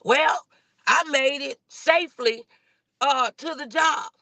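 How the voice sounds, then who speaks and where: A woman's voice: sits high, slow, bright, forceful tone, no echo. A woman hosting a motivational livestream, preaching in a folksy, exhorting way with repeated phrases, speaking from inside a car. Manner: folksy, colloquial. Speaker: host of a morning motivational show.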